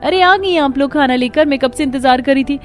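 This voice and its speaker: Casting: female narrator playing entitled young woman, cartoon family drama, pitched up, light music. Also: brisk, natural voice. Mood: haughty, snippy. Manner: snippy, entitled. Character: haughty spoiled young sister-in-law.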